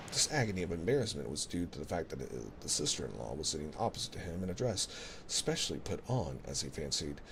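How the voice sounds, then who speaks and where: A man with a low voice narrates a classic novel as bedtime ASMR. His delivery is soothing and measured but swings widely in pitch, with a fry-edged grain. He is close on a binaural mic, with clear ocean noise underneath. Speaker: audiobook-style reader, ASMR host. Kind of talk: ASMR bedtime story reading. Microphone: close binaural ASMR microphone. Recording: noisy ambience of recorded ocean waves. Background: ocean sounds.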